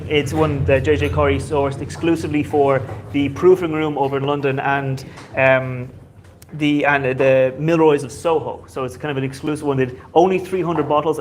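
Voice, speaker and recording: A man's voice: a little high, fairly even intonation, moderate pace, slight background noise. A man hosting a whiskey society tasting night, chatty and loose, with many fillers.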